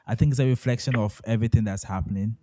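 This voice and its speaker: A man's voice: lowish voice, very fast, mellow, dry recording, studio quality. A man co-hosting a podcast, talking in a casual conversational way. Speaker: podcast co-host.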